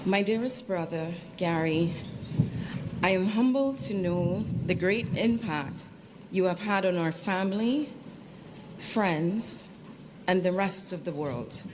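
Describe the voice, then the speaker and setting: Woman, solemn tribute reading, very unhurried, noisy live event sound. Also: fairly low pitch. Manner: solemn, measured. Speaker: funeral tribute reader. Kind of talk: formal read funeral tribute. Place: funeral service.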